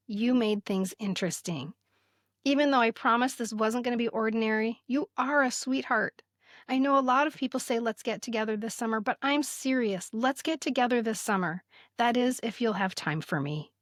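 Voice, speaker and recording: An American woman in her fifties telling stories casually as a podcast host, expressive and emphatic, sometimes reading aloud. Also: fairly high pitch, dry recording, studio quality. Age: 50s. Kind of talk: casual conversational storytelling, some reading aloud.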